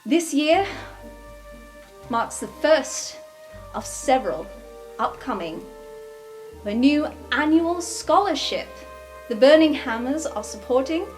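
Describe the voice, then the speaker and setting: An earnest young speaker, played by a woman, delivers a formal thank-you in slow, deliberate phrases. Her voice sits a little high. Faint music lingers in the background. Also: low-quality recording. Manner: measured and formal. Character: earnest young woman student giving a speech. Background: soft background music.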